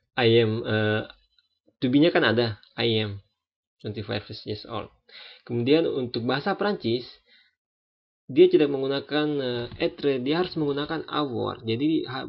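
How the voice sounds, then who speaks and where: Gravelly young man teaching casually. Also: medium pitch. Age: 20s. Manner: relaxed, hesitant, many fillers and restarts. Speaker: French language teacher. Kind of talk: casual spoken lesson. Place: France. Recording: dull lo-fi recording.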